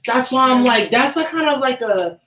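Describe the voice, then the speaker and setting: A woman's voice: sits high, animated, normal speed, a bit bright. A woman in a roomy, echoing space, some distance from the mic. She talks in an insistent, slangy way with plenty of swearing, as a co-host in a casual group chat. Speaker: podcast co-host. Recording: roomy echo, distant mic, background noise.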